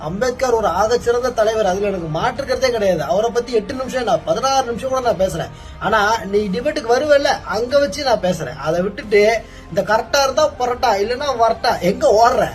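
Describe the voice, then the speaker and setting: A man speaks in a high voice with a colloquial Tamil Nadu accent. His commentary is informal and mocking, pressing a challenge over faint music. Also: lively intonation, fairly quick. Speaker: political social media commentator. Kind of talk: informal combative video rant.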